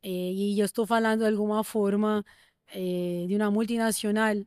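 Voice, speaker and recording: A woman hosting a podcast interview, asking measured, thoughtful questions with frequent restarts. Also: mid-range voice, fairly even intonation, darkish tone, clean tone, no echo, studio quality. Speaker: podcast host. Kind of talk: interview conversation.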